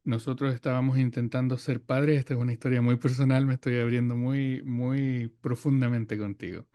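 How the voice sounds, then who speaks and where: A man's voice: mid-range voice, level tone, moderate pace, dark tone, no room sound. A man with a mid-range voice talks as a podcast guest. He thinks aloud, often stopping on small fillers before he finds his words. The sound is dry and close. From Chile. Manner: thoughtful, many hesitations and fillers. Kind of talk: reflective conversation.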